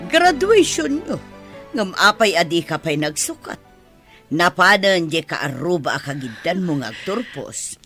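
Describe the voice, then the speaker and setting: A woman's voice: on the low side, big pitch swings, a little slow, a bit bright, faint music behind, exaggerated voice. An actress playing a callous, impatient mother in a radio drama, brusque and dismissive. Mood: scolding.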